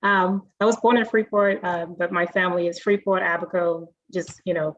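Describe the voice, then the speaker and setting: A young woman from the Bahamas, a guest answering interview questions in a friendly, measured way with frequent fillers over a video call. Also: lowish voice, fairly even intonation. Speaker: guest, self-directed IRA specialist. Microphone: video call mic. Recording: dull, dry video call audio.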